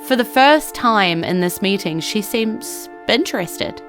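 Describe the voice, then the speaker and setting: A woman's voice: mid-range voice, expressive, measured pace, a bit bright, faint music behind. A woman narrating a scripted fantasy audio drama as a storyteller.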